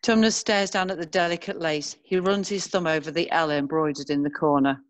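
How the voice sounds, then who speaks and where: A woman narrating screenplay stage directions in a measured, descriptive reading style. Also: sits low, steady tone, no echo.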